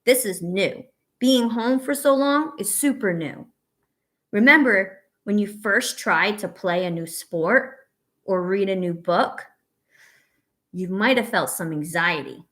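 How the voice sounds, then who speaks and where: A woman reading a picture book aloud to young children as a teacher, pausing to ask questions and offer encouragement and reassurance. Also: mid-range voice, fairly bright tone. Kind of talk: read-aloud story with asides to young children.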